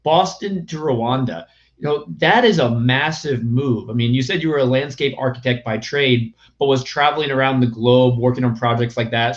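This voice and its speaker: A man hosts a relaxed interview over a video call, eager and wordy, padding his questions with fillers. His voice sits at an average pitch, and the sound is slightly dull and lo-fi. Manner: enthusiastic, wordy, with filler phrases. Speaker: podcast host.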